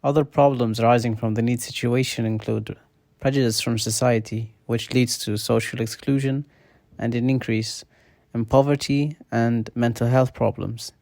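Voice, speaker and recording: A man's voice: medium pitch, steady tone, moderate pace, creaky, a little mellow, no room sound. A young man from Kuwait, a student presenting at a seminar, reading prepared points steadily.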